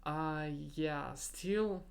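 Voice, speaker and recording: A young man whose first language is Russian, thinking aloud in a halting solo podcast monologue and searching for his words. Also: fairly high pitch, gravelly. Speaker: podcast host.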